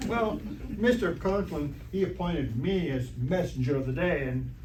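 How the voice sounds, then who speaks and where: A man's voice: fairly high pitch, lively intonation, a little mellow. A man playing a dim, polite, bumbling teenage athlete in an amateur radio comedy, speaking hesitantly and apologetically. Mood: earnest, confused. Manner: hesitant, apologetic, slow-witted. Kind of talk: amateur stage re-creation of 1940s radio comedy. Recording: echoey distant stage recording, faint noise.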